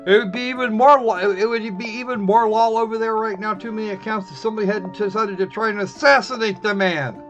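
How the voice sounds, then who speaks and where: A man's voice: high voice, a little fast, hardly any pauses, fairly bright tone. A man hosting a live game stream with casual, snarky, rambling commentary. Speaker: livestream host playing games. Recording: muffled, dull band-limited sound. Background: faint game music.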